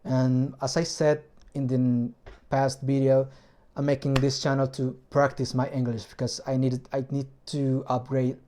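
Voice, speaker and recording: A man with a Spanish accent, Spanish as his first language, casually explaining a hobby engine build in a vlog and often pausing to find words in his second language. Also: medium pitch, little pitch movement, mellow.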